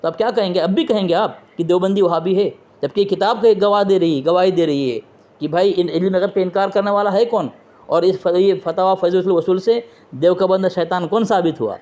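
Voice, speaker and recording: Man, high voice, religious debater, pressing questions, quoting texts, insistent. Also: a little fast, mellow. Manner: quotes from books and scripture. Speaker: interviewer and debater. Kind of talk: religious debate on a phone call. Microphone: phone call.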